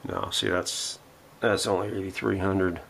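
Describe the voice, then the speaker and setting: A man's voice: deep voice, little pitch movement, a little slow, gravelly, fairly bright tone, a little hiss or hum. A man giving a casual, unscripted hands-on workshop demonstration, thinking aloud as he works. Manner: thinking aloud while working. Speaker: host of a radio repair vlog. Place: home workshop.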